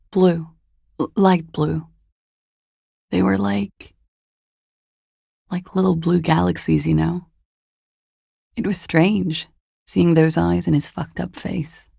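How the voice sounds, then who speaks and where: Game femme fatale: world-weary, wry, guarded; slow, unhurried pace, mellow timbre, dry close sound. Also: female voice, on the low side, gravelly, breathy edge, dry recording, exaggerated voice. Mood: melancholic. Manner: languid, hesitant, wry. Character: world-weary, guarded, seductive disco-dancer woman recounting a dead lover. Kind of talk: video game dialogue acting.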